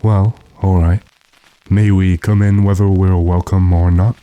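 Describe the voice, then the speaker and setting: A man playing a weary, decisive gentleman master with a dry, commanding manner in an old-time radio drama. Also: sits low, little pitch movement, a little slow, no echo. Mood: resolute.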